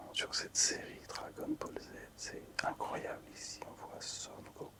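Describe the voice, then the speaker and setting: A man hosting casual ASMR in a breathy, unvoiced whisper, hushed, hesitant and appreciative. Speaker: ASMR video host.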